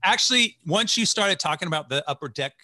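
A man's voice: sits high, expressive, brisk, pushed and bright. A man in his forties hosting a podcast, chatting enthusiastically with a guest over a video call. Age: 40s. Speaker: podcast host. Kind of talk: casual interview chat.

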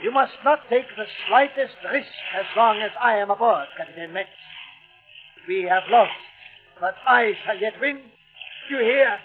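High-voiced fanatical dictator. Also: male voice, measured pace. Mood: defiant. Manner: pompous, declamatory. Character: defeated German dictator in hiding, imperious and fanatical. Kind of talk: old-time radio drama acting. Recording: muffled vintage radio broadcast, music behind.

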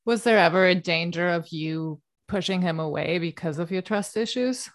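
A woman's voice: on the low side, moderate pace. A woman hosting a podcast interview, asking questions in an encouraging, attentive way. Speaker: podcast host. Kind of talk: casual interview.

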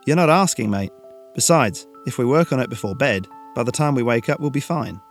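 A man's voice: medium pitch, lively intonation, brisk, faint music behind, natural voice. Man playing a frank, loyal teenage boy. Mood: supportive.

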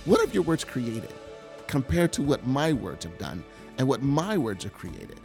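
A man with a gravelly, mid-pitched voice tells his story in a confessional sermon. His delivery is earnest and halting, with frequent restarts and repeated words. Soft music plays faintly underneath. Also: sing-song. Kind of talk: personal testimony sermon.

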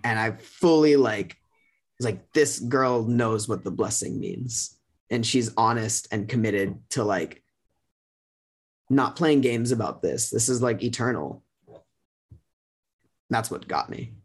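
A man's voice: mid-range voice, slow, long pauses, husky. A man sharing a personal experience as a guest, casual but earnest and emphatic. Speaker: guest sharing his relationship story.

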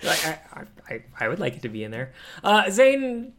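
Man chatting casually, halting and rambling. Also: a little high, wildly swooping pitch, fairly bright tone. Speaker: podcast co-host. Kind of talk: casual comedic chat.

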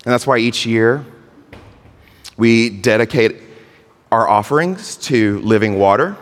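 A man preaching a sermon as pastor, earnest, with drawn-out phrasing. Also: mid-range voice. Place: church sanctuary. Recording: long hall reverb.

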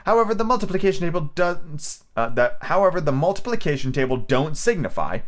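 Guy doing a fussy little girl voice, higher and bouncy, fretting to herself. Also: sing-song, a little fast. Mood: puzzled. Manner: prim and fretful, talking to herself.

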